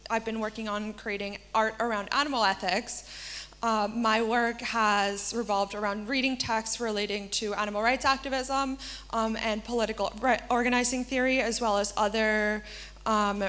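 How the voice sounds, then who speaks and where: A young woman from Argentina presents a conference workshop, speaking into a handheld microphone on a small stage. Her voice sits a touch high, and she talks earnestly, often pausing on "um" as she gathers her thoughts. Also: fairly bright tone. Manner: earnest, frequent "um" fillers. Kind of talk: conference talk. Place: small stage in a darkened hall with a projection screen. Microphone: handheld mic.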